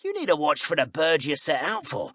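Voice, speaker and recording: Haughty, theatrical dark wizard villain. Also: male voice, on the high side, huge pitch swings, a little fast, dry recording, studio quality, exaggerated voice. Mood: bantering, smug. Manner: pompous, theatrical, formal old-fashioned phrasing. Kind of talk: video game villain voice acting.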